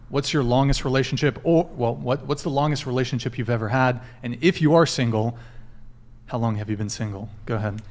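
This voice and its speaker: A man hosting a casual dating podcast, reading shout-outs and joking between plugs. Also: medium pitch. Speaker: podcast host.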